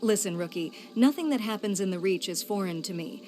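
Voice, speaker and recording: A woman playing a cold, dismissive figure of high authority in a video game cutscene, curt and commanding. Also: animated, a little fast, darkish tone, slight background noise, lightly acted.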